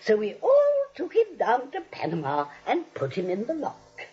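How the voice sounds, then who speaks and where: An actress playing a kindly, chatty elderly spinster with a guileless manner in a 1940s radio comedy. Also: female voice, a little high, huge pitch swings, normal speed, warm tone, breathy edge, full character voice. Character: kindly, fluttery elderly spinster aunt, innocently murderous. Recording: dull vintage radio recording.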